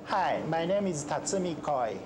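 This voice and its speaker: A man's voice: on the high side, animated, moderate pace. A man with a Japanese accent giving a short self-introduction. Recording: clear background noise.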